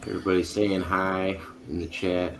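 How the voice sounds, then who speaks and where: Deep-voiced man hosting a live stream. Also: flat delivery, moderate pace, a little hiss or hum. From Chicago area. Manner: laid-back, reads chat comments aloud. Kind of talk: casual chat, greeting viewers.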